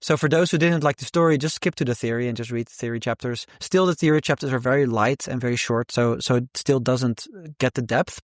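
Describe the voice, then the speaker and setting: A man's voice: mid-range voice, brisk, few pauses, no room sound, studio quality. A man with a Dutch accent, a guest author talking casually in an interview, often repeating words as he searches for the right phrasing.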